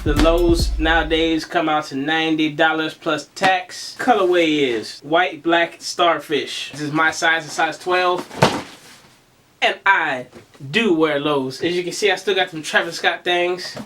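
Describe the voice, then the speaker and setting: Slightly raspy man vlogging. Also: fairly high pitch, steady tone, a little slow, sharp tone, slight background noise. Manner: slangy, laid-back, addresses viewers as y'all. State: under the weather, slightly raspy with a cough. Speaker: sneaker vlogger. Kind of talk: casual unboxing vlog.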